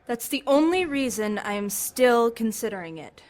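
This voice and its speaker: A woman's voice: on the high side, big pitch swings, normal speed. A woman playing a guarded, reluctant jail officer in an audio drama, speaking in a wary, skeptical manner. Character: guarded, reluctant female jail officer, detective's former girlfriend.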